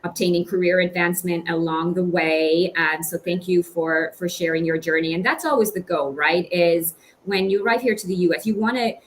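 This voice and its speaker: A woman's voice: on the low side, normal speed, hardly any pauses. A middle-aged woman hosting an online question-and-answer session as a recruitment director, encouraging and generous with praise. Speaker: webinar host and recruitment director. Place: small home office indoors. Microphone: computer microphone on video call. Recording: faint background noise on video call.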